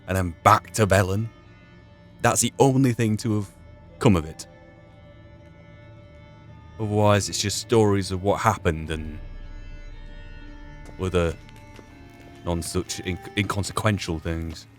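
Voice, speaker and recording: A man giving reflective solo commentary on a roleplay playthrough, posing philosophical questions to himself as he goes. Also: lowish voice. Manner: musing, philosophical, poses rhetorical questions. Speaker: gamer narrating a roleplay playthrough. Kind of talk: reflective solo game commentary, musing in and out of character. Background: video game music.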